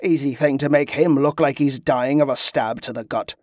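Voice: medium pitch, fairly even intonation, moderate pace, darkish tone, no room sound, clean studio sound, lightly acted. A performer voicing a hard, suspicious young zealot, a male acolyte in a fantasy audiobook, curt and rustic in phrasing. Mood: stern, accusing.

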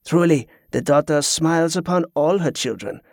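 A man's voice: fairly high pitch, animated, normal speed, creaky, no echo, studio quality. A man voicing a crafty, hot-tempered old French pirate in an audiobook, with a French accent and effusive, flowery speech. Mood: genial, sly.

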